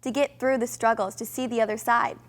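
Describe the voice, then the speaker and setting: A young woman co-hosting a faith show, reading scripted lines clearly. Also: fairly high pitch, steady tone, a little fast. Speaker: co-host. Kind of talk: scripted presenting.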